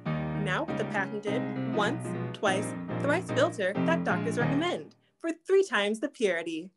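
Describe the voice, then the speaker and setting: Woman as animated, sassy 1940s cigarette-ad gal. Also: medium pitch, measured pace, loud music behind the voice. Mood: showy, sassy. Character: sassy 1940s female singing-trio cigarette spokeswoman. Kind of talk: 1940s radio ad acting, comedic.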